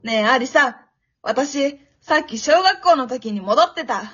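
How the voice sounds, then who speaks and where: A woman acting a bubbly, pushy, affectionate teenage girl in an amateur radio drama, with a cutesy, expressive delivery. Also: sits high, measured pace, husky, exaggerated voice. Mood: heartfelt. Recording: dull, lo-fi audio.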